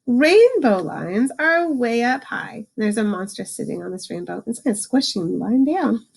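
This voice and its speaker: A woman reading a picture book aloud to children, adding informal explanations and slowing down for the book's lines. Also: a little high, big pitch swings, gravelly, breathy edge. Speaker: read-aloud host, teacher for children.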